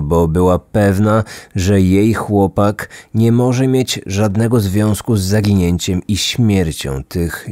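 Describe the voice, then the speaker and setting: Male podcast narrator, low register, serious scripted crime storytelling, faint music bed. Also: darkish tone. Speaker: true crime podcast host. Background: background music.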